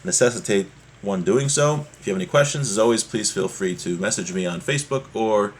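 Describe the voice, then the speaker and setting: A man's voice: lowish voice, a little fast, a little hiss or hum. A man teaching an informal religious lesson, reading from a text and explaining it with frequent check-in questions.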